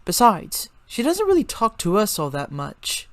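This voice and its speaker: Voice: mid-range voice, huge pitch swings, unhurried, no echo, voice pushed up. A performer voicing a frank, chatty, friendly girl who explains things matter-of-factly in a story reading.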